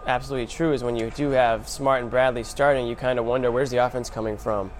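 A man hosting a sports show, recapping basketball in a casual, animated storytelling way with emphatic short phrases. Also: mid-range voice, fast, slight background noise.

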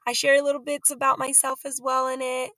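A woman's voice: high voice, monotone, a little fast, bright, forceful tone, no echo, studio quality. A woman guest on a podcast, earnest and upbeat as she talks about her work and her book. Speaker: podcast guest, company founder and author. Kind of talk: casual interview.

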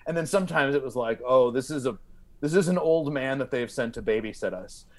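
A man telling comic stories as a guest comedian, in a halting way, repeating words and leaning on filler. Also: medium pitch, lively intonation. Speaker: podcast guest, stand-up comedian. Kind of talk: animated comic storytelling.

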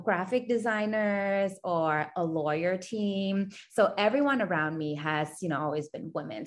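Woman from Thailand, a founder and guest; low voice, earnest, steady. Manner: earnest, steady, many "you know" fillers. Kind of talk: podcast interview.

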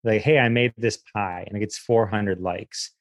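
A man's voice: lowish voice, normal speed, dry recording. Self-deprecating male comedian, dry close recording. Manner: self-deprecating, animated retelling with acted quotes. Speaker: podcaster guest, comedian. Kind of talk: casual comic interview chat.

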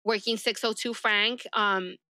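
A woman's voice: mid-range voice, steady tone, unhurried, bright, forceful tone, dry recording, studio quality. A woman whose first language is Spanish, telling her story casually as a police deputy guest on a podcast.

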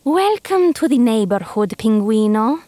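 A woman voicing a sly, smug male cat gelato boss, talking in a purring, mocking, drawn-out way. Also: fairly high pitch, big pitch swings, mellow, dry recording.

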